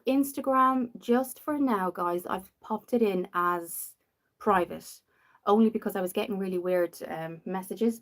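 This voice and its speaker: A young woman from Dublin with a softened Irish accent, rambling casually while hosting a makeup livestream and answering viewers. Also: medium pitch. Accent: Dublin Irish, softened after years in the UK. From Ireland. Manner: chatty and rambling, reads out viewer comments.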